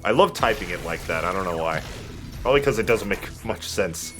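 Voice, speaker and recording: Male let's play host: low, expressive voice, chatty and joking, casual banter over faint game music. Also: fairly quick. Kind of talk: casual gaming commentary and banter.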